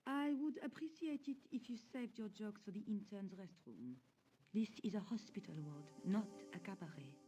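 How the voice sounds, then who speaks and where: An actress voicing a stern, prim hospital nurse in a video game, speaking in a scolding way. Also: female voice, mid-range voice, lively intonation, normal speed, mellow, faint music behind, close to an everyday voice, poor audio.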